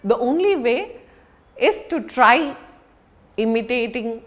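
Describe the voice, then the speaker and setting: Woman, public speaking coach, instructional delivery, lively varied intonation, slightly high pitch, emphatic, deliberate pauses. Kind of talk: instructional lesson to viewers.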